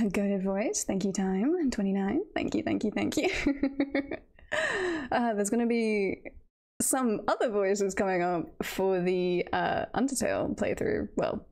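A woman's voice: mid-range voice, a little mellow. A woman chatting casually as she hosts a livestream, with a nervous, giggly manner, speaking close to a streaming mic. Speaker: streamer hosting a first livestream.

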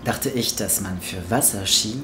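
A man recites poetic spoken word very slowly, leaving theatrical pauses. His voice rings in a roomy kitchen, with music clearly playing behind him. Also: lowish voice. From France. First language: French. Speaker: author and spoken word artist presenting own book. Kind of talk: poetic spoken word book trailer monologue. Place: small home kitchen, indoors.

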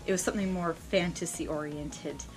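A young woman vlog host telling a personal story casually and unscripted, with theatrical emphasis and dramatic pauses. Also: sits low, steady tone, soft background music. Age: young adult. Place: small indoor room lined with shelves.

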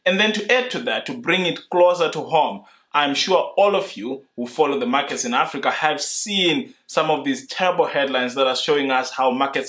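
A young man from Zimbabwe, explaining his investing approach to camera in an earnest, measured way, seated indoors. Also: mid-range voice, a bit bright. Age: young adult. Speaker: investing YouTuber. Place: indoor room in front of a leather sofa.

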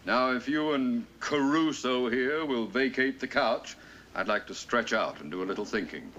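A man's voice: mid-range voice, moderate pace, close to an everyday voice. A man playing a bossy, scheming middle-aged father in 1950s American sitcom style, pompous and curt. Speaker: actor in a sitcom. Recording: muffled, noisy old television soundtrack.

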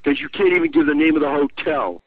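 Insistent male prank caller, high and creaky, blunt delivery, narrow telephone sound. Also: very animated, fast talker, no room sound. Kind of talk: prank phone call of repeated canned clips. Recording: thin lo-fi telephone clips.

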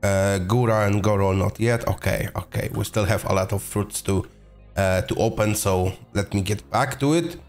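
A young man with a voice hoarse from being sick, chatting casually through a game video and getting excited over rare drops. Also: on the low side, normal speed, faint music behind. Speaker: gaming video host. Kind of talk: casual gameplay commentary.

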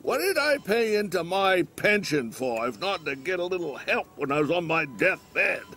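A man's voice: sits high, sing-song, normal speed, pushed and bright, slight background noise, exaggerated voice. An actor playing a cranky, crude old man in a hospital bed, grumbling, sarcastic and teasing, in an audio drama. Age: older. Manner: grumbling, sarcastic, bellowing for the nurse.

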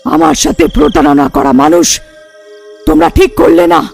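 A woman voicing a furious, vengeful witch in a horror cartoon, speaking in menacing, drawn-out threats. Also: high-pitched, steady tone, vocal fry, over music, heavily acted. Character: vengeful female witch, furious man-eating night prowler.

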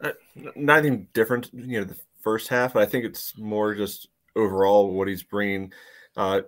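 Low, flat, rambling man. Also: moderate pace. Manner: rambling, peppered with "you know". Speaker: sports podcast panelist. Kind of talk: casual sports discussion. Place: indoor room on a video call. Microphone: video call microphone.

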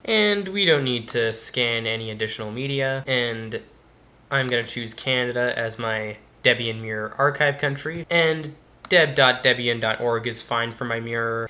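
A young man with a casual, explanatory manner walks viewers step by step through a software install in a tutorial. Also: mid-range voice. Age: young adult. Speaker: tech YouTuber. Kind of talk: screen-recorded tutorial walkthrough. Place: small room indoors.

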